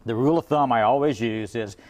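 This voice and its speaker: A man's voice: medium pitch, fairly quick, a little mellow. Male import car shop owner, guest on a TV chat, folksy and plain-spoken, repeats himself. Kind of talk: TV interview, giving advice.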